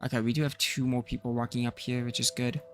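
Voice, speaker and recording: A man streaming a survival game, thinking aloud in casual commentary, his voice a bit rough and tired. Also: mid-range voice, little pitch movement, fast talker, noisy background. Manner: rambling, thinking aloud with fillers. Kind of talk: casual let's-play commentary.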